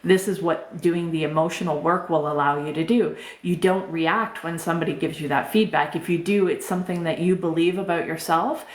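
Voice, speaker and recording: A middle-aged woman life coach with a soft voice, speaking gently and informally on a live stream, answering chat questions with many fillers. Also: fairly low pitch, steady tone, fairly quick, hardly any pauses. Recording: roomy echo.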